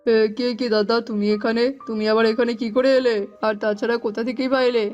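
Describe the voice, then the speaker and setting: A woman's voice: a little high, steady tone, fast talker, a little mellow, natural voice. A woman voicing a callous, grudging younger jackal uncle in a dramatic, exclamatory cartoon style. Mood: dismissive. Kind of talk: cartoon voice acting. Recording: muffled, music underneath.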